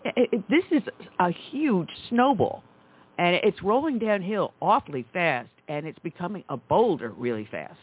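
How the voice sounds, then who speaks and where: A woman's voice: lowish voice, big pitch swings, moderate pace, breathy edge. A woman hosting a talk radio show, speaking emphatically and with indignation. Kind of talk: conservative talk radio chat. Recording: tinny phone line.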